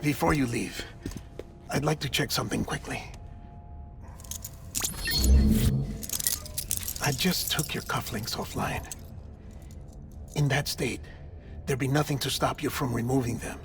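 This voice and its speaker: Man acting a gentle official, gravelly and hushed. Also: mid-range voice, normal speed, natural voice. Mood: quietly conspiratorial. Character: melancholy, gentle male medical official, quietly conspiratorial. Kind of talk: video game cutscene acting. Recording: music behind the voice.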